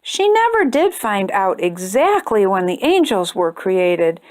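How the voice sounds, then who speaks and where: A woman hosting a children's storytime, reading a picture book aloud with expressive, sing-song storytelling. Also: medium pitch, a little slow. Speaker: storytime host.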